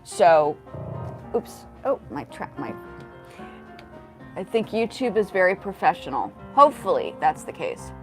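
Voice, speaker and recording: A woman's voice: lowish voice, big pitch swings, a little slow, long pauses, soft background music. A woman in her forties hosting a casual livestream, talking to chat in a rambling way with many restarts. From grew up in South Africa, the United States and Japan. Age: 40s. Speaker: livestream host.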